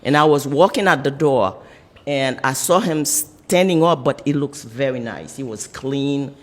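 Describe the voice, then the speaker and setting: A woman's voice: rumbling low, unhurried. A woman in her sixties with a Haitian accent, answering casually and conversationally in a courtroom. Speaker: defendant's wife in court. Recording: echoey courtroom with long reverb.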